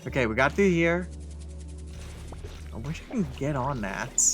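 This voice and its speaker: A man's voice: medium pitch, lively intonation, unhurried, fairly bright tone, soft background music. A man giving casual let's play commentary as he reacts to a video game.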